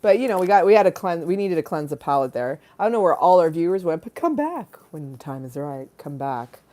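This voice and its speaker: A woman with a slightly low, dark and mellow voice chats to her live stream. She rambles comically from aside to aside with a quirky, theatrical streak. Her manner is giggly and bawdy, with casual swearing. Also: very animated, brisk. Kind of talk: rambling comic livestream chat.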